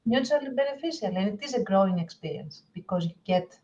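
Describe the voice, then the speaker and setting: Woman, medium pitch, casual podcast co-host, animated, dull lo-fi recording. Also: quick pace, slight background noise. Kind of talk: casual chat.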